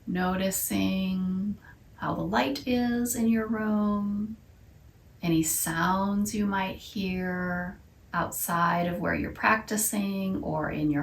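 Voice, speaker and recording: A woman leading a guided meditation as a yoga teacher, talking softly and soothingly and sometimes sinking into a whisper. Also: medium pitch, reverberant, recorded from a distance. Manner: slow, soft and soothing, drifting into whispers.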